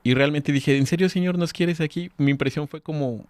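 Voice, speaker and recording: A man's voice: on the high side, a little fast, no echo. A man, telling his story as a guest in an earnest, searching way. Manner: earnest, restarts words while thinking. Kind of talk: casual conversation.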